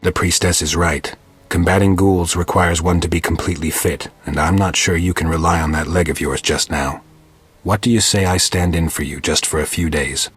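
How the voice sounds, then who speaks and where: Super deep raspy witcher type, gruff, flat delivery, dry close recording, video game. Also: moderate pace, no room sound. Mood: stoic, dry. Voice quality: gravelly, raspy. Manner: deadpan, terse. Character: gruff, stoic male monster-hunting witcher, terse and dry.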